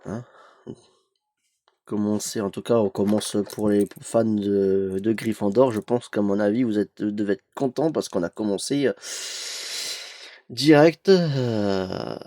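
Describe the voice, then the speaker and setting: A man's voice: fairly low pitch, takes it slowly, pauses often, mellow, no echo, studio quality. A young man with a cold, chatting hesitantly with many fillers while opening an advent calendar for his collection vlog. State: has a cold. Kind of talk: casual unboxing vlog.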